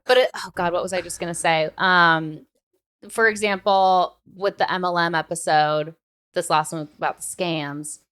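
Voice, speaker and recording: A woman's voice: fairly low pitch, fairly even intonation, moderate pace, a bit bright, clear, resonant tone. A woman hosting a podcast in casual, emphatic conversation, leaning often on "like" and "literally.". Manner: emphatic, filler-heavy with frequent "like" and "literally". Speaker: podcast host.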